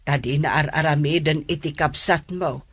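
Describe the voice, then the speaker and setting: A woman's voice: low voice, steady tone, normal speed, breathy edge. A woman playing a caring mother in a radio drama, speaking with an Ilocano accent. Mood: gently concerned. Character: caring mother speaking to her grown child. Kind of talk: Filipino radio drama acting.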